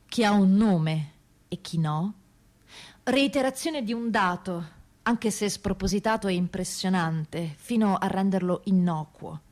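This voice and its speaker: A woman performing a scripted theatrical monologue, deliberate and rhetorical, with dramatic pauses. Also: medium pitch. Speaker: stage performer and narrator.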